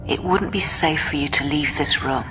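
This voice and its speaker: An actress playing a restrained, evasive carer of a confined patient, terse and placating in a radio drama. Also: female voice, deep voice, normal speed, pushed and bright. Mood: grave, placating. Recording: music behind the voice.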